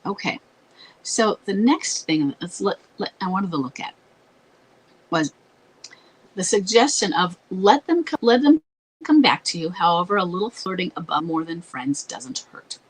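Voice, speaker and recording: A woman with a slightly low, very husky voice hosts an advice talk. She sounds congested and scratchy, as if her voice is going out. She explains things haltingly, moving between reading and commenting. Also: very animated. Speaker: advice show host. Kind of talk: advice talk with read-out letters.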